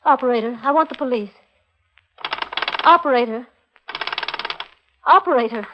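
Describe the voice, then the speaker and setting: Woman acting an anxious young bride, high-voiced. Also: moderate pace, natural voice. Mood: panicked. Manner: earnest, distressed, imploring. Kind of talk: 1940s radio melodrama acting. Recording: dull, faintly noisy 1940s radio recording.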